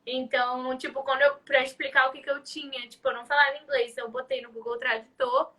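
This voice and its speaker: A woman's voice: sits high, flat delivery, brisk, pushed and bright, far from the mic. A young woman with a Carioca accent chatting casually on a live stream, full of fillers. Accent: Carioca Portuguese. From Rio de Janeiro, Brazil. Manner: chatty, many fillers like "tipo". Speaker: live guest.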